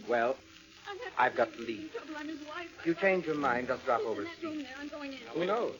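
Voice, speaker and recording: Probing psychiatrist, animated intonation. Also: male voice, medium pitch, lo-fi sound. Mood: coaxing. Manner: deliberate, questioning, authoritative. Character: probing, self-assured male psychiatrist, Doctor Richards. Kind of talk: 1940s radio drama acting.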